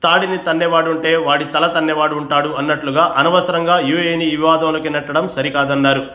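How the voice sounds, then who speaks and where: A man with a somewhat high voice delivers a scripted news report. His delivery is formal and emphatic, like a voice-over for a bulletin. The sound is clearly roomy and echoing. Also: little pitch movement, fairly quick, sharp tone. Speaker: news narrator.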